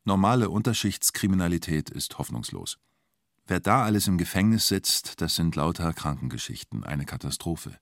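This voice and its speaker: Ein Schauspieler spielt in einem Hörspiel einen nüchternen, sachlich formulierenden Erzähler und Vernehmer. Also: male voice, sits low, sing-song, moderate pace, vocal fry, no room sound, studio quality, heavily acted. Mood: sober, reflective. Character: sober male narrator and formal police interrogator. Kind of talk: scripted radio drama narration.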